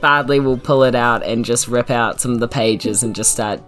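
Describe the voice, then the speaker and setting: A woman's voice: rumbling low, normal speed, soft background music. A woman with a New Zealand accent, a tabletop game player who trades jokey, giggly banter. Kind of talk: casual chat at a tabletop game.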